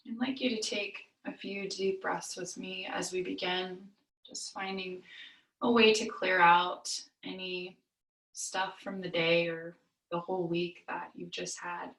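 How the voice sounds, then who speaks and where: A woman leading a guided meditation as a yoga nidra teacher, soothing and unhurried, with long pauses between phrases. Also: on the low side, flat delivery, fairly bright tone. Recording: roomy echo, distant mic.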